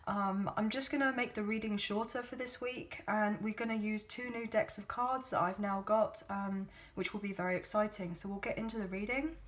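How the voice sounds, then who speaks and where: A woman with a slightly croaky, hoarse voice, giving a casual tarot reading to her viewers in a chatty, gently encouraging way with frequent ums. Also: medium pitch, fairly even intonation, moderate pace, few pauses. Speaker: tarot card reader.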